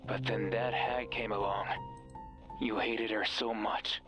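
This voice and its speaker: An actor playing a sinister, manipulative mysterious voice on a radio, bitter and commanding, narrating a horror game in the second person. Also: male voice, lowish voice, steady tone, slow, music in the background. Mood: scornful, brooding.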